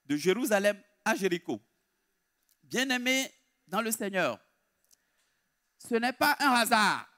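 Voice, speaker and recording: A man preaching a sermon in an emphatic, deliberate way, repeating his key phrases. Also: sits high, big pitch swings, bright, forceful tone.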